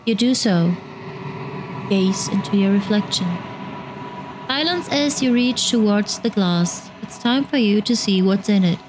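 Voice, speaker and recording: A woman's voice: mid-range voice, a little slow, noisy background. A woman with a German accent, a native German speaker, hosting a let's play, reading game text aloud and commenting casually. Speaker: gaming video host. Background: game music.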